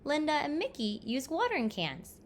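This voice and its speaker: Woman as curious young storybook child, high pitch, lively swoops, eager and plaintive questioning. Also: moderate pace, slight background noise.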